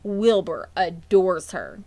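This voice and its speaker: A woman's voice: fairly low pitch, sing-song, takes it slowly, mellow, no room sound, exaggerated voice. A woman voicing an eager, earnest young farm girl in a children's storybook, thoughtful and matter-of-fact. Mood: enthusiastic.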